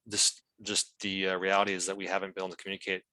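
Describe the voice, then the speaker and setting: A man's voice: low-pitched, monotone, very fast, sharp tone, no echo. A man giving a careful, hesitant informal explanation at a meeting, often restarting his phrases. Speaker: legal team member speaking at a meeting.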